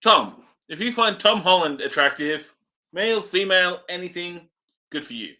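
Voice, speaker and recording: A man's voice: high voice, lively intonation, normal speed, bright, forceful tone. A man co-hosting a movie review show in casual banter, laid-back and chiming in with short remarks.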